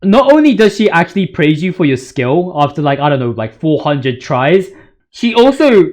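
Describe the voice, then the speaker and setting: High-pitched young man, slangy gaming commentator. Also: normal speed. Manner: slangy, excitable, hyperbolic rambling. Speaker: video creator, commentator. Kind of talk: informal video game commentary.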